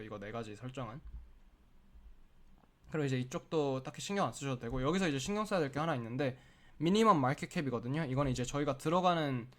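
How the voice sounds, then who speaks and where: A man in the middle of a cold, his throat sore and often needing a clear, walks viewers through a screen-share tutorial. He talks in a chatty, explaining way, filling his sentences with little filler words, at an ordinary middle pitch for a man. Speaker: crypto trading tutorial host.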